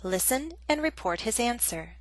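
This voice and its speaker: A woman teaching an English grammar lesson in a clear, measured, scripted way. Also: a little high, expressive, no room sound.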